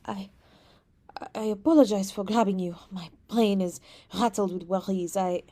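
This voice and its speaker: A woman's voice: medium pitch, steady tone, moderate pace, dark tone, lightly acted. A woman voicing a playful young princess who teases and scatters French words into her speech, in an ASMR roleplay. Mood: worried, hushed. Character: teasing young princess, playful, anxious beneath.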